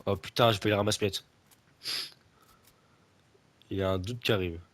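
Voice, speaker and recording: A man's voice: fairly low pitch, steady tone, normal speed, fairly bright tone. Stuffy-nosed young man rambling over gameplay. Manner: rambling, many fillers and restarts, teasing. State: stuffy nose from a cold. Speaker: gaming video creator. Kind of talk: casual gameplay chat with a friend.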